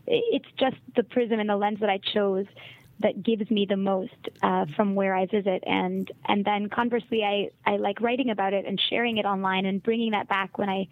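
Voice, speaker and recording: Canadian woman guest, articulate and measured, dry tinny phone line. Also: mid-range voice, fairly even intonation, dry recording. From Montreal, Canada. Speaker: guest, travel writer. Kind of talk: podcast interview.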